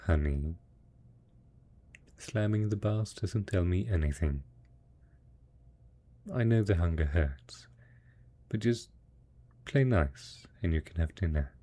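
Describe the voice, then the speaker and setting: A man's voice: deep voice, warm tone, full character voice. A man playing a devoted post-apocalyptic husband in an intimate audio drama, speaking tenderly and patiently as he coaxes his monstrous spouse. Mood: gently firm. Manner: tender, coaxing, patient, full of pet names.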